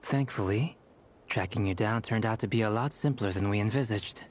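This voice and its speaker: A man playing a calm, articulate young scholar in a video game, speaking politely. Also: lowish voice, moderate pace, a little hiss or hum, close to an everyday voice. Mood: calm, friendly.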